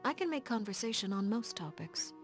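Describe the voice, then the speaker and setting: A woman playing a coolly elegant, formal leader of agents in a video game, speaking in a composed, measured and refined way. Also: fairly high pitch, lively intonation, over music, lightly acted. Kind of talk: scripted video game character dialogue.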